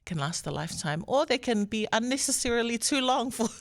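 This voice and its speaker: A young woman, a musician guest in a casual radio chat, loose and chatty and quick to laugh. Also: fairly high pitch, expressive.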